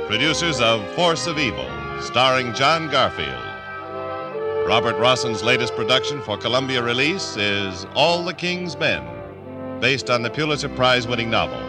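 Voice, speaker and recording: An American man announces a 1950s radio show from a script. His medium-pitched voice swings in a lively way, with music playing behind it. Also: normal speed.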